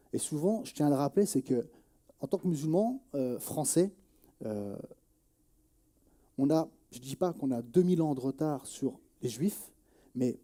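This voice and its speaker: Man, about forty, deeply mellow timbre, earnest testimony, halting delivery, repeated phrases. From Nièvre, France. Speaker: journalist and activist interviewee. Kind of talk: earnest spoken testimony in an interview.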